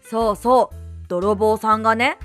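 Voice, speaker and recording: A woman's voice: on the high side, steady tone, a little slow, natural voice. A woman playing a practical, assertive mother in an amateur family radio drama, talking in a casual, matter-of-fact way. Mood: casual concern. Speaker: actor in a family audio drama. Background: background music.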